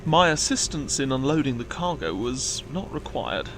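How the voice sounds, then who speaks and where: Lively, bookish, formal alien crewman. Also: medium pitch, moderate pace, slight background noise. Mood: keen, precise. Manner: formal, precise, earnest. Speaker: actor in an audio drama. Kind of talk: science-fiction audio drama acting.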